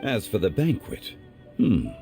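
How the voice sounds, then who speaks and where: A man playing a refined, composed, scholarly gentleman in a video game, with a mellow voice and formal, measured, courteous phrasing. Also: lowish voice, wildly swooping pitch, gravelly, faint music behind, full character voice.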